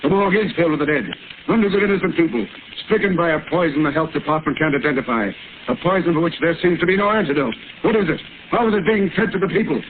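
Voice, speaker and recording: An actor playing a demanding, impatient city mayor in a vintage radio drama. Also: male voice, fairly high pitch, quick pace, lightly acted. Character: blustering middle-aged city mayor. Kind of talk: 1940s radio acting. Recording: lo-fi old radio broadcast, hiss.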